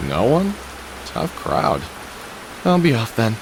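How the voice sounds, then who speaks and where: A man's voice: medium pitch, huge pitch swings, slow pace, exaggerated voice. Weary, wry middle-aged man, rainy hiss. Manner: wry, musing aloud to himself. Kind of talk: video game voice acting, inner monologue and dialogue.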